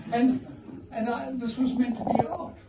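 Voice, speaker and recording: A man's voice: extremely high pitch, a little slow, vocal fry, warm tone. A man with a South African accent, telling wry, wandering anecdotes as an author at his book launch. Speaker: author giving a launch talk. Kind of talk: informal speech to a crowd. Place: art gallery, indoors. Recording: echoey gallery, distant, noisy.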